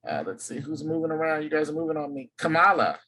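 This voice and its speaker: A man's voice: fairly high pitch, expressive, quick pace, sharp tone. A man from Los Angeles hosting an online workshop, cheerfully calling on each participant by name. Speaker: workshop host. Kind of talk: video call introductions. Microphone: video call.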